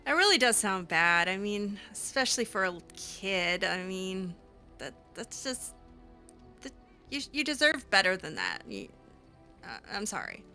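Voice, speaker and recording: A woman's voice: mid-range voice, big pitch swings, measured pace, sharp tone, soft background music. A woman improvising in character over a video game, conversational and hesitant, often trailing off. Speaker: roleplay game streamer.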